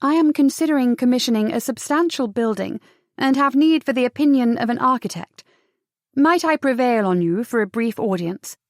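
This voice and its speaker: A woman's voice: fairly high pitch, lively intonation, normal speed, no room sound, clean studio sound, close to an everyday voice. A woman playing a bold, eloquent female writer in an audiobook, reading out a letter with formal poise. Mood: poised, courteous.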